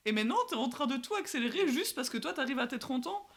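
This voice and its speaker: A woman's voice: sits high, lively intonation, fast talker. A woman in her twenties chatting casually on a podcast, peppering her talk with fillers and asides. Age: 20s. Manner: chatty, fillers like "genre" and "tu vois". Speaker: guest. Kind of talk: casual chat.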